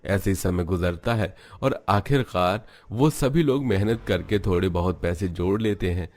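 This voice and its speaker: Low-pitched male story narrator. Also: a little slow, darkish tone. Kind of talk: scripted storytelling narration for animated family drama.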